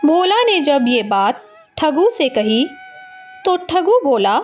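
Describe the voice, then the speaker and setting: A woman's voice: sits high, big pitch swings, slow, faint music behind. A woman narrating a scripted village story as a storyteller.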